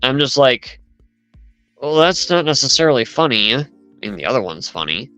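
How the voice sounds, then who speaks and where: A man's voice: medium pitch, big pitch swings, normal speed, fairly bright tone, clean tone, no room sound, soft background music. A man in casual chat, rambling as he retells events with lots of asides.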